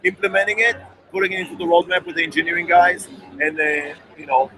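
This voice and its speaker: Brief: man, company representative, fast pitch with restarts, voice a bit high. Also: steady tone, bright, forceful tone, slight background noise, low-quality recording. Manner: fast, with many restarts and repeated words. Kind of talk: on-the-spot interview.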